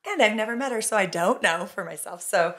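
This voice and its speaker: A middle-aged woman co-hosting a podcast with an American accent, a loud and animated storyteller in casual chat. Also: medium pitch, fairly quick. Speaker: podcast co-host.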